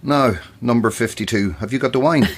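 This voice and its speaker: A man's voice: medium pitch, fairly quick, slight background noise, close to an everyday voice. An actor playing a sardonic, grumbling male companion in a naturalistic audio drama, with a wry manner. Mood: doubtful.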